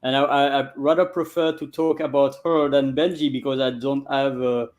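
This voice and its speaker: A man in his forties with a Belgian French accent, a guest in a casual interview, often pausing with fillers while he searches for words. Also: medium pitch, level tone, darkish tone. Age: 40s.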